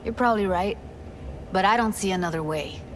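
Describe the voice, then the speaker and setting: A woman's voice: medium pitch, a little fast, a little hiss or hum, close to an everyday voice. An actress playing a determined young techie woman rallying her crew in a video game, talking in clipped, informal street talk. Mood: earnest.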